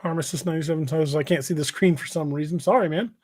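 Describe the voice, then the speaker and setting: A man casually hosting a livestream, reading out comments and chatting in a halting, hesitant way. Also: on the high side, darkish tone, dry recording, clean studio sound. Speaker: podcast host and novelist. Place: indoors on an internet livestream video call.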